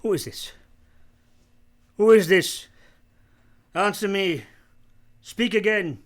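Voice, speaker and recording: An actor playing a passionate, commanding blind gentleman in a live period radio romance, theatrical and fervent in delivery. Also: high voice, slow. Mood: agitated, desperate.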